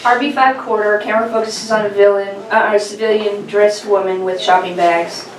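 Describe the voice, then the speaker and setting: A woman's voice: mid-range voice, steady tone, fairly quick. A woman reading stage directions as the narrator at an informal table read. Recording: distant echoey room mic, chatter behind.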